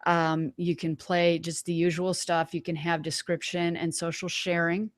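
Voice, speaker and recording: A woman hosting a webinar, giving a casual live software demonstration in an informal, folksy way, thinking aloud. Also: lowish voice, little pitch movement, normal speed, no echo. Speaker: webinar host.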